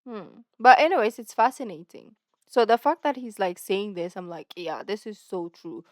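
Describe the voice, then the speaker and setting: A woman's voice: mid-range voice, normal speed, no room sound. A woman hosting a reaction video, rambling and chatty, often correcting herself. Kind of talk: casual chat reacting to a video.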